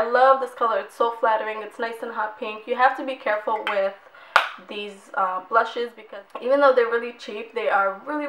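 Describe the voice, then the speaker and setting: A young woman, hoarse from losing her voice, chatting casually and rambling as she reviews beauty products, repeating words for emphasis. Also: mid-range voice, background noise. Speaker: beauty vlogger. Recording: echoey room.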